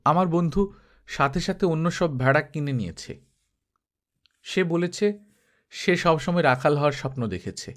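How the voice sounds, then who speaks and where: A man's voice: a little high, animated, normal speed, long pauses, a bit bright. Male narrator as a young shepherd boy, earnest, curious, storybook dialogue. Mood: pleasantly surprised. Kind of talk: audiobook dialogue.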